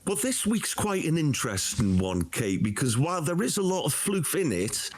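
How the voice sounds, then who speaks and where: A man co-hosting in a casual, enthusiastic way, croaky from a cold. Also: on the high side, very animated, normal speed. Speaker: co-host. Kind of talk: casual chat.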